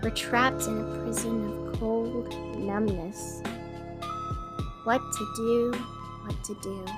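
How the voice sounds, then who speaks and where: A woman's voice: fairly high pitch, fairly even intonation, slow. A woman playing a weary, lonely radio host, musing wistfully to herself in a scripted radio fiction monologue. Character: weary, lonely, melancholy female radio host in a gray city. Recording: music playing under the voice.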